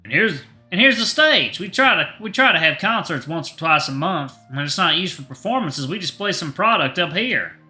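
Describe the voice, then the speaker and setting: A man's voice: on the high side, sing-song, brisk, bright, forceful tone, soft background music, voice pushed up, heavily acted. A man voicing a friendly, folksy cafe owner who chats proudly in a story reading. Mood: cheerful, welcoming. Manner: folksy, chatty. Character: friendly, chatty male cafe owner and baker, proudly reminiscing.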